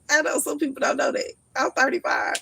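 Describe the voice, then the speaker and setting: A woman in her thirties on a casual livestream, telling stories in an animated, slangy way and laughing often. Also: sits high, a little fast, creaky, slight background noise. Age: 30s. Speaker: livestream host.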